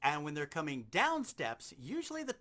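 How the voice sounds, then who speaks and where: Male instructor, high voice, lively inflection, informal step-by-step demo over loud background noise. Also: moderate pace. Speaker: sound effects instructor. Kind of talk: instructional demonstration talk.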